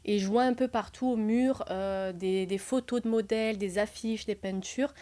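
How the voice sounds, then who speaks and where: A woman's voice: medium pitch, normal speed. Young woman spilling a funny work story, lots of euh, laughs a lot, casual podcast chat. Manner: animated anecdote telling with laughs. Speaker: real estate agent podcast co-host.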